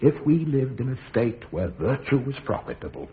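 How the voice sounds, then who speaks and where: An actor playing a gentle, principled, loving father in prison, expressive and reflective, in a radio drama. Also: mid-range voice, moderate pace, close to an everyday voice. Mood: earnest, reflective. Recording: narrow, noisy radio recording.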